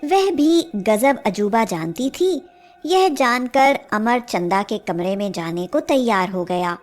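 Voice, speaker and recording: Woman reading a kids fairy tale, very high voice, slow and measured. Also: lively intonation, faint music behind. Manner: measured storytelling. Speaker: storyteller. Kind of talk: scripted Hindi fairy tale narration.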